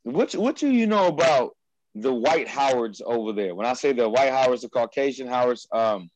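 A man from the United States hosting a casual chat, excitable and slangy, often repeating himself. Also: medium pitch, normal speed, no echo, muffled recording. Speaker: podcast host. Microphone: headphones with mic on a video call.

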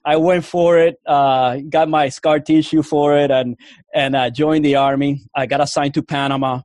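A man's voice: fairly high pitch, fairly even intonation, normal speed, darkish tone, dry recording, clean studio sound. Cuban-accented man telling a rambling story. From Puerto Rico. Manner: rambling, full of restarts and fillers. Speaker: interview guest, Army veteran. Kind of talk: casual interview storytelling.

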